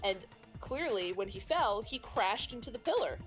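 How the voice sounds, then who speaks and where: Female gaming co-host, confident casual explainer, slightly high voice, faint music behind. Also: expressive, moderate pace. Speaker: co-host of a let's play. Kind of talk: casual chat, game commentary.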